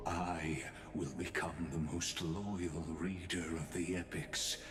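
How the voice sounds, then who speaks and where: A slow, echoing voice over music belongs to a mysterious ancient male observer. He speaks like a theatrical orator in a fantasy game, weighing every grandiose phrase. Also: low-pitched. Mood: fervent, theatrical. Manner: grandiose, deliberate, dramatic oratory. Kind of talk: scripted fantasy game voice acting.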